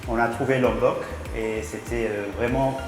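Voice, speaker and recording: Man, french, halting, fillers, very echoey room, clear background music. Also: medium pitch, fairly even intonation. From England. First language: English. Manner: hesitant, many fillers and restarts. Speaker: project founder, guest. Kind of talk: casual interview.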